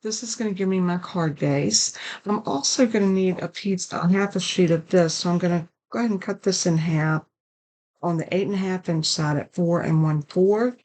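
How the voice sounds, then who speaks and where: An older woman, raspy and hoarse from a sinus infection, hosting a casual card-making tutorial at her craft desk. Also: lowish voice, normal speed, a little mellow. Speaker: card-making channel host.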